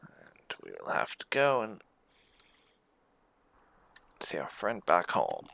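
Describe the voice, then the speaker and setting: A woman's voice: extremely low pitch, wildly swooping pitch, takes it slowly, vocal fry, a bit bright, dry recording. A woman giving casual commentary as she plays a video game, often musing aloud to herself. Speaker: gaming video host. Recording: music behind the voice. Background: game music.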